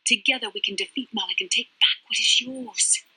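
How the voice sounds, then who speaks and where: An actress playing a proud young woman fallen to the dark side, intense and haughty in a dramatic video game style. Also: a little high, a little fast, husky, heavily acted. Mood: intense, persuasive.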